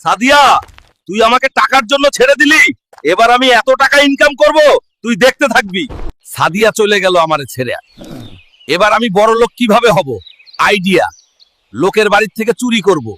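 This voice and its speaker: A man voicing a brash, vengeful, money-hungry Hulk in a cartoon, loud and declamatory. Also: sits high, expressive, moderate pace, long pauses. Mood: scheming, gleeful. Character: brash, vengeful, cash-hungry male Hulk, a jilted underdog. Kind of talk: exaggerated cartoon story dialogue.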